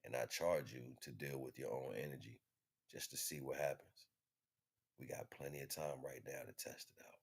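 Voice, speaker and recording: A man's voice: rumbling low, fairly even intonation, normal speed, gravelly, breathy edge. A man giving a casual motivational wellness talk in an emphatic, slangy, streetwise way. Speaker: host.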